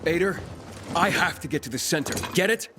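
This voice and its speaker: A man's voice: a little high, lively intonation, a little fast, close to an everyday voice. An actor playing an earnest, direct wandering survivor who presses his case in a video game. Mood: insistent. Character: earnest, insistent adult male wandering survivor. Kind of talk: video game cutscene acting. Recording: game music behind the voice.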